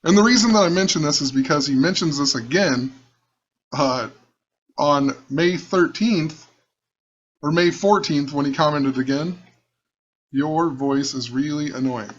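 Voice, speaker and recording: A man with a rough, fairly high voice talks in a casual, rambling way and keeps coming back to the same points as he thinks out loud. The recording sounds dull and lo-fi. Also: measured pace, long pauses. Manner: musing, rambling, repeats himself. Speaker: channel host. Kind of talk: casual screen-share commentary.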